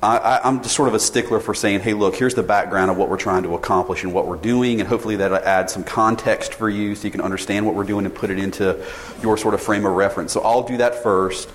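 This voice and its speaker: A man's voice: on the low side, fairly even intonation, fast, hardly any pauses, slight background noise. A man in his forties gives a casual conference presentation to an audience, polling them with questions and restarting his sentences often. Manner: informal, polls the audience, many restarts. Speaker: sales director presenting at a conference. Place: conference session room. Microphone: tethered mic. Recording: reverberant hall, faint ambience.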